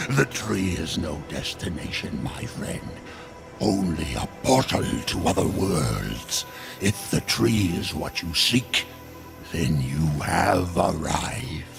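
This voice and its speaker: A man's voice: low-pitched, big pitch swings, very slow, vocal fry, music in the background, heavily acted. A man playing a sly, ancient otherworldly merchant in a video game, unctuous and theatrical in manner. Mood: sly, coaxing. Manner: unctuous, theatrical, amused, chuckling. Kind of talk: video game character acting.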